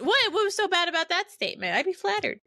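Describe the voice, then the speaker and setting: Bright, playful woman in her late twenties. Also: very high-pitched, sing-song, fast talker, dry recording. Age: late 20s. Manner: playful, blunt, joking. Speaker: podcast co-host. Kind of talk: casual chat.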